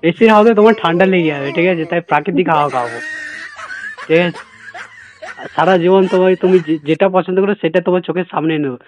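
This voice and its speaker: Man, higher-pitched, rapid coaxing phone patter, prank caller, muffled dry recording. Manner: sweet-talking, coaxing, repeatedly tags sentences with a check-in. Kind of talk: prank phone call chat. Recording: dull lo-fi call recording.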